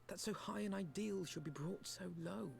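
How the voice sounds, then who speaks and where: A man's voice: high-pitched, moderate pace, creaky, slight background noise. A man acting an earnest, idealistic young commander in a fantasy game, with solemn and eloquent archaic diction. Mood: regretful, resolute.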